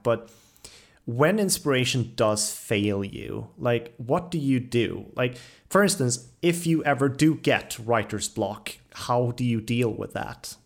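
A man hosting a podcast interview, speaking in an enthusiastic, encouraging way and feeling his way through questions. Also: medium pitch. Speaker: podcast host. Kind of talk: conversational interviewing.

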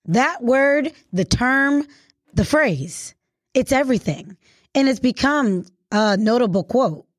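A woman's voice: on the high side, lively intonation, takes it slowly, no room sound, studio quality. A woman hosting a radio interview in a casual, slangy, celebratory style, effusive and admiring in an informal way. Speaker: radio show host.

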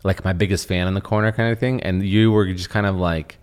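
A man's voice: deep voice, fairly even intonation, brisk, smooth. A young man who grew up speaking Russian, co-hosting a podcast in a rambling casual chat full of fillers and restarts. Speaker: podcast co-host.

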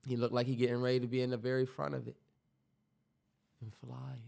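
A man's voice: medium pitch, monotone, fast talker, vocal fry, darkish tone. A man co-hosting a podcast, talking late at night, tired, laid-back and teasing in casual banter. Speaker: podcast co-host.

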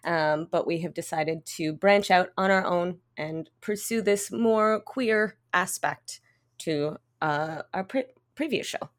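A woman's voice: on the low side, takes it slowly. Giggly English Canadian woman in her thirties. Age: 30s. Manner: giggly, chatty, with frequent fillers and restarts. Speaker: podcast host. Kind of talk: casual chat.

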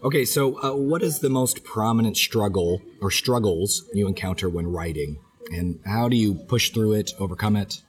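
Podcast host guy w a head cold, raspy, lowish voice, jokey, lots of um and uh. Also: normal speed. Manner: friendly, joking, fillers between questions. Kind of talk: podcast interview hosting.

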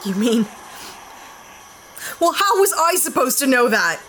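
An actress playing a brash, trigger-happy young heroine who talks bluntly and snappily. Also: female voice, high-pitched, very animated, unhurried, fairly bright tone, slight background noise, heavily acted.

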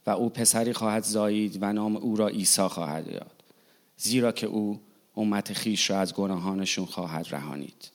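A man reads scripture aloud with a deep, low voice. He moves through the passage very quickly and keeps an even reading rhythm.

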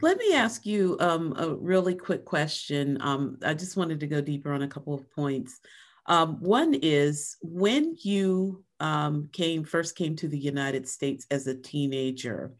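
Low-voiced woman interviewer. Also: expressive, measured pace. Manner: warm, hesitant questioner with many ums. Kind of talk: conversational interview.

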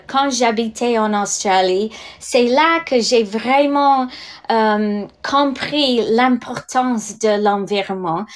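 Slow, hesitant woman in her sixties. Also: fairly high pitch. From England. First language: English. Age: 60s. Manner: hesitant, many fillers and restarts. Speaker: direct sales leader. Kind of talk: podcast testimony.

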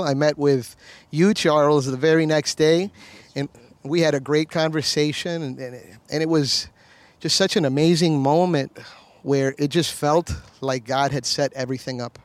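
A man's voice: on the high side, no echo. Earnest storytelling man in his fifties. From Miami, USA. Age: 50s. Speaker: pastor and guest. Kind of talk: conversational interview.

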